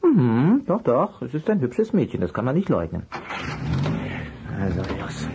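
An actor playing an amiable, patient private detective in a German children's radio play, husky, polite and a little hesitant. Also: male voice, mid-range voice, wildly swooping pitch, measured pace, lo-fi sound, heavy background noise. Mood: amused, teasing.

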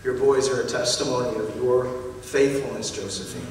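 A man in his forties leads a baptism as pastor, his talk light and encouraging. His voice sits in the middle range and is caught from a distance in a big reverberant hall, with steady room noise underneath. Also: mid-range voice, moderate pace. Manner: warm joking, encouraging. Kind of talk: baptism address to congregation. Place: church stage in baptism tank. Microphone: handheld mic, distant room pickup. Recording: echoey hall from far off.

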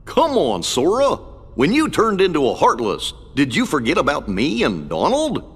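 A man voicing a good-hearted, slow-witted cartoon dog in a video game, with a husky, folksy, bumbling drawl. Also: on the high side, huge pitch swings, heavily acted. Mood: reassuring. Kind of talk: video game cutscene voice acting. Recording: long hall reverb.

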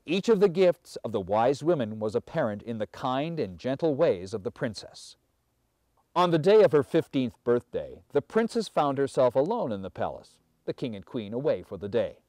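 A man in his fifties reading a fairy tale aloud as a storyteller, with measured, theatrical and deliberate phrasing. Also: mid-range voice, big pitch swings, darkish tone, no echo. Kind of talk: dramatic fairy tale narration.

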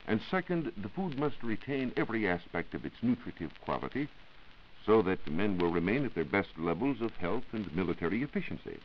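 A man's voice: lowish voice, very animated, fairly quick, lo-fi sound, slight background noise. An American man explaining formally as a science reporter in a scripted 1940s radio broadcast on an old recording.

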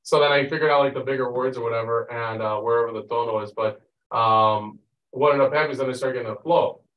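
Male study leader, informal, rambling, mid pitch, roomy distant recording. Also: fairly even intonation, quick pace, dull audio. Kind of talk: casual group teaching and chat. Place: meeting room with long conference table.

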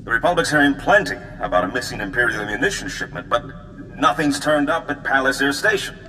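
An actor playing a wry, official male Republic agent in a video game, brisk and knowing. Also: mid-range voice, animated, sharp tone, long reverb tail, a little hiss or hum, rough recording. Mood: businesslike. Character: suave, wry Republic agent man on hologram. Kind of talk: video game dialogue acting.

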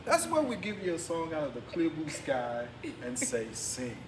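An American man in middle age hosts a casual interview. His playful delivery moves up and down a lot. The room echoes around him, with clear background noise. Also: fairly high pitch, big pitch swings, moderate pace, recorded from a distance. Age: middle-aged. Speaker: interview host. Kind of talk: casual on-camera group interview. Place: indoor room, group seated before banner.

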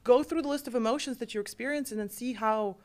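A woman from Russia, a guest on a podcast giving calm advice in casual chat. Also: on the high side, quick pace. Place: podcast studio set. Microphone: boom mic on arm.

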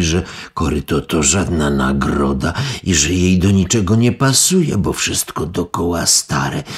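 A meek, raspy old fisherman. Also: deep voice, exaggerated voice. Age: older. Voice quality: raspy, gravelly. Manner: timid, pleading.

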